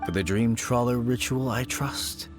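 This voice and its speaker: A man's voice: mid-range voice, measured pace, close to an everyday voice. An actor playing a mysterious, wry, philosophical man of a shrine in a video game, musing in formal, theatrical phrases. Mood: wry, knowing. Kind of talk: video game character acting. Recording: game music behind the voice.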